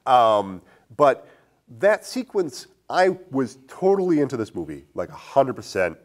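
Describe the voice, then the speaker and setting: A man's voice: mid-range voice, sing-song, a little slow. A man co-hosting a podcast, talking through a film review in a casual, conversational way and thinking aloud. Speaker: podcast co-host.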